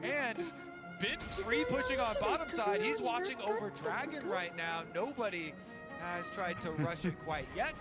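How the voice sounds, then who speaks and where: A man's voice: sits high, big pitch swings, measured pace, over loud music. A man giving live commentary and analysis on an esports match.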